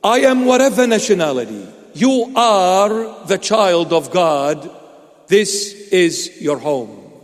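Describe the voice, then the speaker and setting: A man's voice: high voice, big pitch swings, takes it slowly. A man preaching a sermon, emphatic and repetitive, asking rhetorical questions with playful asides. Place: church hall. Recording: long reverb of a large hall.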